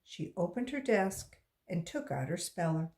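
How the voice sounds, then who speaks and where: Slow, expressive woman reading a children's book. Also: on the low side. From West Virginia. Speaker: volunteer reader.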